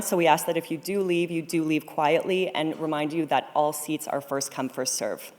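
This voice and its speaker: A woman's voice: deep voice, a little mellow. A woman hosting a conference, delivering a scripted welcome speech to open the event. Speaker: conference co-programmer, host introducing event. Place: large studio auditorium, on stage. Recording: echoey hall with long reverb.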